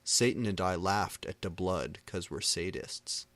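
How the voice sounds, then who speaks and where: A man reads fan fiction aloud as a deadpan narrator. His voice is fairly low and his pace very slow, in a dry recording. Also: no room sound. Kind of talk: dramatic reading of comic fan fiction.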